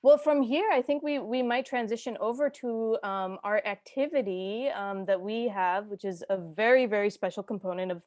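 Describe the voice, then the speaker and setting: A woman hosting an event casually, with a halting, informal way of talking. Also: medium pitch, darkish tone. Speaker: event host.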